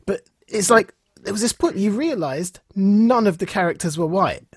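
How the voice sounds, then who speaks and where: Man, British accent, slightly husky voice, dry recording, casual podcast storyteller, acts out quoted reactions. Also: sits high, expressive, normal speed, no room sound. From England. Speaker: podcast co-host. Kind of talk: casual chat, telling anecdotes.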